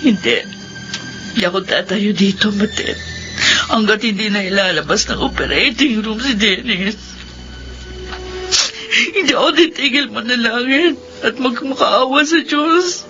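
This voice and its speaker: A bright, high, weeping wife in a lo-fi radio drama with music under. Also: female voice, unhurried, long pauses. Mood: praying. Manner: tearful, pleading, prayerful. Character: devoted young wife praying for her injured husband. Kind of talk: Filipino radio drama acting.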